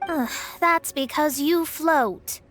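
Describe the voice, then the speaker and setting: A woman's voice: sits high, slow, music in the background. A woman voicing a proud, pompous young female astrologist in a video game, speaking in formal, theatrical phrases. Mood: weary, irritated.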